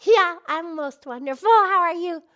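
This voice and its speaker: A woman's voice: very high-pitched, moderate pace, pushed and bright. A woman voicing a cheerful, chatty female pig who hosts a puppet show, talking in bubbly, eager, rambling bursts.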